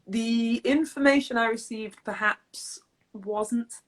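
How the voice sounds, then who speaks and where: A woman's voice: on the high side, takes it slowly. Friendly woman hosting a chat. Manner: friendly, agreeable, laughs often. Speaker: podcast host, vocal coach. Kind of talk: casual interview chat.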